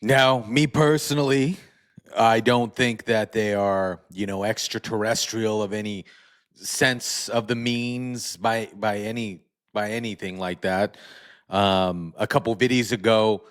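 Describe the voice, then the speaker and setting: A man hosting his own channel, talking casually to camera with a hoarse voice he says he is losing, blunt and skeptical. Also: fairly low pitch, steady tone, unhurried. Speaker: channel host.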